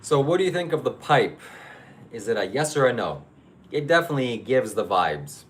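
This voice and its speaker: A man's voice: medium pitch, very animated, normal speed, a little hiss or hum. A man from Ukraine, hosting a live stream and chatting casually with viewers in a rambling, hesitant way. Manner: rambling, hesitant, with many fillers and restarts. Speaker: livestream host.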